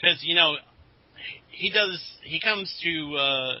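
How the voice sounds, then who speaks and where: A man's voice: fairly high pitch, takes it slowly, no echo. A man co-hosting a podcast, chatting casually and informally like an enthusiastic fan. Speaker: podcast co-host. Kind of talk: casual chat about comics. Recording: dull, lo-fi podcast audio.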